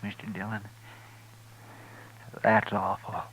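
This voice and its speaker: An actor with a rural American accent playing an earnest, fretful, homespun deputy sidekick in a 1950s radio western. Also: male voice, fairly low pitch, flat delivery, slow pace, a bit bright. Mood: anxious. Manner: homespun, plainspoken. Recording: noisy, crackly vintage radio recording.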